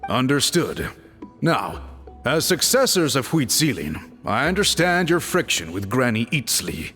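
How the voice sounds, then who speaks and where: A man's voice: mid-range voice, big pitch swings, sharp tone, soft background music. Dignified male chief, game dialogue, formal, hugely varied intonation, long reverb. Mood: courteous. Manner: measured, formal, smooth-mannered. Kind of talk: video game voice acting.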